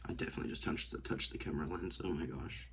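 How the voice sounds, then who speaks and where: A young man vlogging casually while working at a desk, rambling and talking to himself with many hesitations and often dropping to a hush. He sounds sniffly and congested. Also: rumbling low, fast, creaky. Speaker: outdoors vlogger. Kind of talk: casual hands-on vlog. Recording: noisy home recording.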